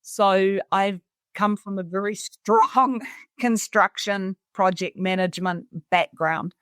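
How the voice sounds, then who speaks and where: A woman's voice: mid-range voice, steady tone, takes it slowly, a bit bright, no echo, clean studio sound. A middle-aged woman with a New Zealand accent, telling her own story conversationally as an interview guest. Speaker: interview guest, cabin resort owner.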